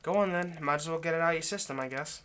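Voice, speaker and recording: A man playing an anxious, lovesick centuries-old vampire kidnapper in an intimate roleplay monologue, speaking in a halting, coaxing, rambling way straight to the listener. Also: fairly high pitch, very noisy, lightly acted. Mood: soothing, apologetic.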